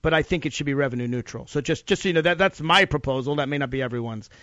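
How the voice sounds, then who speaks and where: Man, radio talk host, earnest, affirming, fairly high voice, dull broadcast sound. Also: fairly bright tone, dry recording. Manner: earnest, affirming, quick interjections. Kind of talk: political call-in radio talk.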